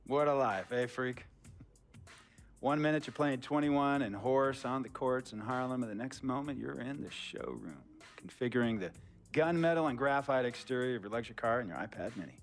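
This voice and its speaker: An actor playing a wealthy, smooth-talking male tech mogul who tells rambling stories in a game cutscene. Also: mid-range voice, moderate pace, faint music behind, natural voice. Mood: chummy. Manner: rambling, theatrical storytelling. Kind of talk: video game cutscene dialogue.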